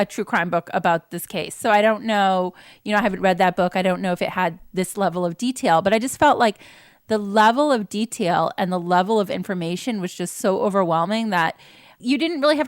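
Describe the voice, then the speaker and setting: A woman's voice: mid-range voice, brisk, no room sound. Rambling woman panelist, very dry sound. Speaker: podcast panelist. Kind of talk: casual review discussion.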